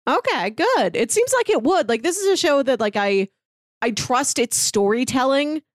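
A woman's voice: high-pitched, sing-song, normal speed, no echo, studio quality. A woman chatting casually and enthusiastically as a podcast panelist, often dropping "like" into her sentences. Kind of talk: casual review chat.

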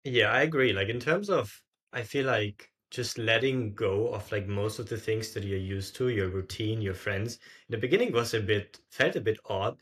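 A man's voice: on the low side, normal speed, hardly any pauses, fairly bright tone. A man, telling his story casually as a podcast guest, with informal, loosely strung phrasing. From Austria. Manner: informal, frequent "like" and "sorta".

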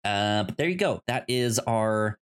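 A man hosting a podcast in a casual, chatty style, sometimes halting and repeating words as he searches for phrasing. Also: on the low side, animated, clean tone. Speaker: podcast host.

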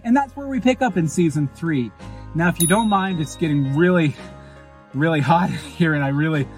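A man's voice: on the high side, normal speed, music in the background. A man hosting a podcast, giving a jokey, self-mocking recap of his audio comedy's story. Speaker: podcast host and show creator. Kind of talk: fast comic recap of a story.

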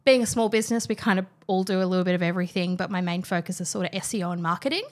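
A woman's voice: on the low side, racing. A young woman, chatting casually as a guest about her work, with plenty of fillers and restarts. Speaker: guest, wine trainer. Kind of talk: casual chat.

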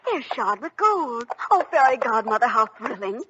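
A woman's voice: fairly high pitch, very animated, moderate pace. An actress playing a sweet, wide-eyed young girl in an old-time radio fairy tale, full of breathless exclamation. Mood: thrilled. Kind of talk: old-time radio children's drama acting. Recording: muffled, noisy vintage radio recording.

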